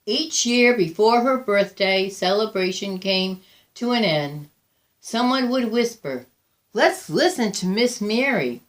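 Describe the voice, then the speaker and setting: A woman reading a children's picture book aloud in a deliberate, expressive storytelling manner, with drawn-out emphasis and pauses. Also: mid-range voice. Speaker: volunteer picture book reader.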